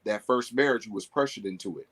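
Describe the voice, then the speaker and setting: A man in his thirties hosting a casual podcast chat, jumping in and teasing his guests. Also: fairly low pitch. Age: 30s. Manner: lively, teasing, quick to jump in. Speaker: podcast host.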